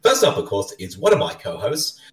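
A man's voice: on the low side, wildly swooping pitch, fairly quick. Aussie guy hosting a podcast, bouncy up and down voice, kinda far from the mic. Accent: Australian. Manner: jokey, chatty, laughs often. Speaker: podcast host. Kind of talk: casual podcast chat. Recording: roomy sound from a distant mic.

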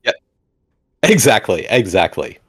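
A man hosts a laid-back movie commentary podcast, his voice rising and falling a lot as he talks. He sounds animated and chatty, and he keeps coming back to short replies like "exactly" and "indeed". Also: on the low side, a little slow, husky. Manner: animated, laughs often, repeats "exactly" and "indeed". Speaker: podcast host. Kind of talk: casual movie commentary chat.

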